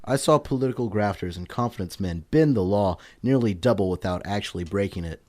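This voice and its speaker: An actor playing an earnest young publisher who is secretly a vigilante, speaking forthrightly in old-time radio drama style. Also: male voice, lowish voice, brisk, a little mellow, lightly acted. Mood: resolute.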